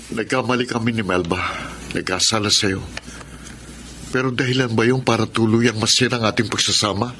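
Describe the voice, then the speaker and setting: A guilty husband pleads in a contrite, dramatic manner. His voice is low yet sharp and forceful, in classic radio drama style with faint noise behind it. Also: fairly even intonation, a little fast. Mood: pleading, contrite. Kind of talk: Tagalog radio drama acting.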